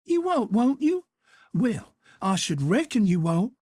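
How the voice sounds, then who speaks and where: Guy doing a high shrill auntie, scolding, swoopy and a bit husky. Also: very animated, moderate pace, dark tone, no echo, clean studio sound. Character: feisty middle-aged Southern aunt, woman.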